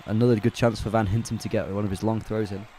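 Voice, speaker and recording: A man calling a football match live on a fan watch-along stream, chatty and relaxed, correcting himself as he goes and answering viewers' chat. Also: lowish voice, quick pace, a faint crowd, a little hiss or hum. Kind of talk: casual live play-by-play commentary.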